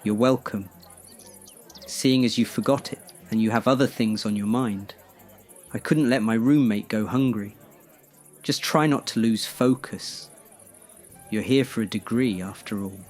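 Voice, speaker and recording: A measured, well-mannered young Briton in his twenties, played by a man in an audio drama. His voice sits low and his delivery is dry and proper. Faint music plays underneath. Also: a little mellow. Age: 20s.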